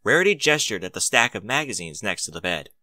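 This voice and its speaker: A man reading scripted story narration in an expressive storytelling manner. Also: lowish voice, fast talker, dry recording, clean studio sound.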